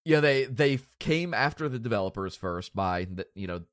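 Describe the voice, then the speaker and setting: A man's voice: lowish voice, animated, normal speed, a bit bright, dry recording, studio quality. A man hosting a casual podcast, stuffy and nasal from a cold, rambling and joking at his own expense. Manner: rambling, self-deprecating, joking. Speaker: podcast host.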